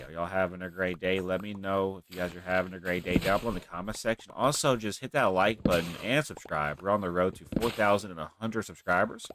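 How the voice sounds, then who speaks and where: A man with a low, deep voice talks casually while playing a game, thinking out loud as he goes. His nose is stuffy from a cold. Soft clicking sits faintly behind him. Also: noisy background. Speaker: gaming channel host. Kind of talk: casual gameplay commentary.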